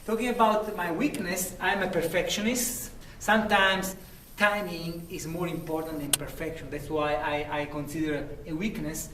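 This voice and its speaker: A man with a thick Italian accent gives an earnest, self-promoting sample lecture, often restarting his phrases. His voice sits a bit high. The recording is roomy and echoey, picked up from some distance in a university room. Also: steady tone, moderate pace, bright, forceful tone, slight background noise. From Italy. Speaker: lecturer applicant.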